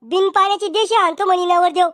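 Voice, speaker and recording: Squeaky, brash village cartoon squabbler. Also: male voice, fairly even intonation, fast, fairly bright tone. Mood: cheeky, sarcastic. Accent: Varhadi Marathi. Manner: brash, sarcastic, bickering. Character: cheeky, quarrelsome village cartoon youngster and scolding aunt.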